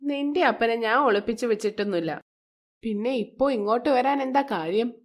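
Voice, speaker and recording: A woman's voice: on the high side, fairly quick, natural voice. Woman acting a bitter, scolding stepmother. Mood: angry, scolding. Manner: sharp, complaining, sarcastic. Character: bitter, resentful, scolding middle-aged stepmother in a moral story.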